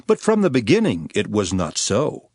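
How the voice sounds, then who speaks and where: A man playing a grave, earnest male teacher in a dramatized scripture reading, with solemn, emphatic, measured oratory. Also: fairly low pitch, huge pitch swings, a little mellow, breathy edge, dry recording, studio quality, full character voice. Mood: firm teaching.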